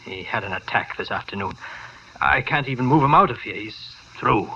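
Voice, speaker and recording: Bright-voiced, anxious elderly doctor. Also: male voice, on the low side, expressive. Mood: somber, pleading. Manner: urgent, hesitant, halting. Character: anxious, kindly elderly male neighborhood doctor. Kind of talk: 1950s radio drama acting. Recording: muffled, noisy vintage radio recording.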